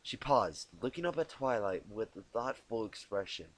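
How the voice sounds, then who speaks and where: A man narrating a story expressively as an amateur reader, informal and quick to correct himself. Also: fairly low pitch, animated. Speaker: amateur fan fiction narrator.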